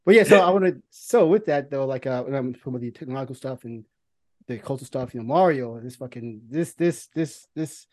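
A man with a medium-pitched voice talks casually as a podcast co-host. He rambles toward his points in halting bursts, doubling words and swearing freely. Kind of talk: casual film and game discussion.

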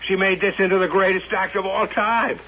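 A man's voice: high-pitched, flat delivery, normal speed, bright, forceful tone. An insistent carnival showman. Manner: earnest, insistent. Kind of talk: 1970s radio drama acting. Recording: dull old radio broadcast with hiss.

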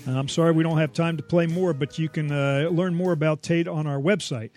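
Man, slightly high voice, folksy wry co-host, home-advice banter, very dry recording. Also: fairly even intonation, fairly quick, a little mellow, dry recording, faint music behind. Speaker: co-host of a home improvement radio show.

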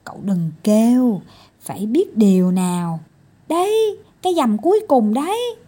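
Woman playing a coaxing little storybook doctor, slightly husky. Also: fairly high pitch, expressive, a little slow, warm tone. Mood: coaxing, reassuring. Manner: coaxing and scolding. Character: tiny male doctor, patient, coaxing, mildly exasperated.

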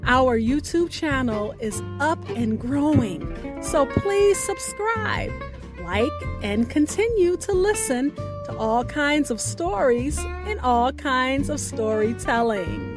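A woman radio host delivering a scripted show outro in a deliberate, carefully enunciated announcing manner. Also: high-pitched, expressive, music in the background.

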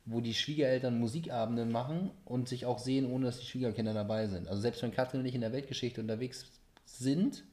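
A middle-aged man hosting a casual family podcast, asking relaxed, chatty questions. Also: medium pitch, fast talker. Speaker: podcast host.

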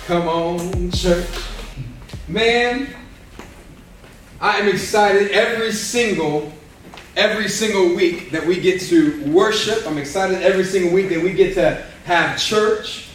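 Man, pastor, high voice, energetic folksy sermon, very echoey room, distant mic. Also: a little slow, faint music behind. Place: church room with a small audience. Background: small live audience responding.

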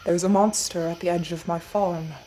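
A woman's voice: lowish voice, fairly even intonation, moderate pace, dark tone, a little hiss or hum, close to an everyday voice, rough recording. A woman doing a weathered old farmer. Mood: grim. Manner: rough, worried, terse. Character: weathered, desperate older male farmer. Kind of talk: audiobook character voice.

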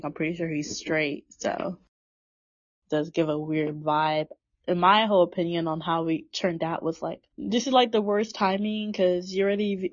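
A young woman in her twenties runs a casual podcast discussion. Her voice sits a little low and the dry, dull sound is lo-fi. She talks in a chatty, opinionated way, full of "like". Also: no echo. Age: 20s. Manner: chatty, filler-heavy, opinionated. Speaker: podcast host.

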